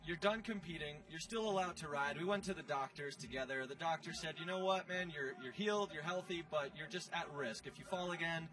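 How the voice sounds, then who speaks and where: A man hosting a casual sports post-game interview, chatty and excitable, with slangy, teasing delivery. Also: on the high side, a little fast, hardly any pauses, recorded from a distance, poor audio. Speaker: show host interviewer. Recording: off-mic, music playing behind. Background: music.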